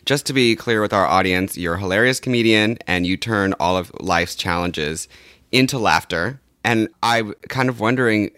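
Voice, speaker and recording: A man's voice: on the low side, a bit bright, no echo. A man hosting a podcast, interviewing conversationally with thoughtful, slightly tentative phrasing. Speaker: podcast host.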